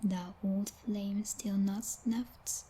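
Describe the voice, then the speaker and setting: A very breathy, whispering female vampire knight, played by a woman in an ASMR roleplay. She is possessive and coldly domineering, speaking slowly with formal, teasing condescension. Her tone is mellow and dark, with a quiet menace. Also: mid-range voice, steady tone. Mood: suspicious, interrogating.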